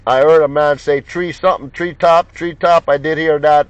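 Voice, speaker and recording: Male CB operator, slightly raised pitch, folksy slang and repeated call signs, dull lo-fi sound with radio noise. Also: fairly even intonation, moderate pace. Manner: repetitive, jocular, folksy banter. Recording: muffled lo-fi sound with loud radio noise, clicks. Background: CB radio noise and clicks.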